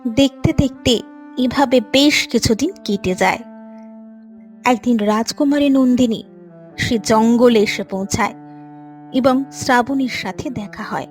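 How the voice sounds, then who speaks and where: A woman with a high voice narrates an animated moral tale. Her delivery is scripted storytelling, with faint music playing behind her. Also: measured pace, long pauses.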